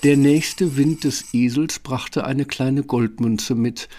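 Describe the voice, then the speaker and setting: A man's voice: mid-range voice, fairly even intonation, takes it slowly, mellow. A man narrating a scripted fairy tale as the storyteller of an audio play.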